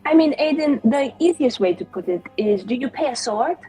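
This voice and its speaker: A woman's voice: high voice, lively intonation, moderate pace, warm tone, husky, faint music behind. A woman playing a very confident, spiteful female mage with sarcastic, mock-formal delivery in an improvised tabletop game. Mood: bitter, lecturing.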